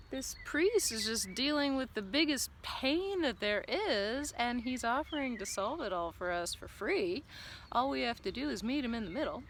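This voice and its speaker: A woman's voice: mid-range voice, slight background noise. A woman vlogging a reflective, earnest monologue to camera, musing aloud with lots of fillers. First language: not German. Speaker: vlogger and business coach.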